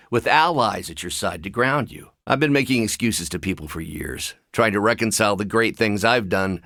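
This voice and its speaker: Low-voiced, wry, troubled scientist. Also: male voice, fairly bright tone, dry recording, studio quality. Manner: measured, articulate, wry, guilt-ridden. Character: brilliant, troubled, mild-mannered middle-aged male scientist. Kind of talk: video game voice acting.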